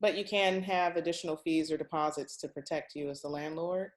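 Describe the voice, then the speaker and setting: A woman hosting a real estate session, giving measured, explanatory advice and putting questions to a guest conversationally. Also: sits low, steady tone, poor audio.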